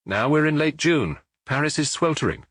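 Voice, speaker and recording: A man voicing a brisk, frank family doctor who reassures his friend in an audiobook. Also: on the high side, lively intonation, a bit bright, no room sound, clean studio sound, voice pushed up. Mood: firm. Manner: brisk, reassuring, lightly teasing.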